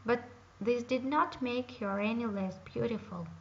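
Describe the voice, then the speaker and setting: A woman reading a fairy tale aloud in a slow, careful storytelling manner. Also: a little high, vocal fry, slight background noise. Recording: dull lo-fi home recording.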